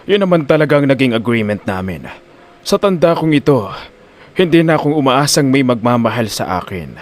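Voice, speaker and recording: A man's voice: medium pitch, big pitch swings, fairly quick, close to an everyday voice. Man acting a resigned old Filipino don. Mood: resigned, calm. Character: resigned, plain-spoken wealthy old man. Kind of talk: Filipino audio drama acting.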